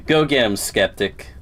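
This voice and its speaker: A man's voice: fairly high pitch, a bit bright. Casual male game streamer, some background noise. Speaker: game livestreamer. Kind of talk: casual reactions while playing a game.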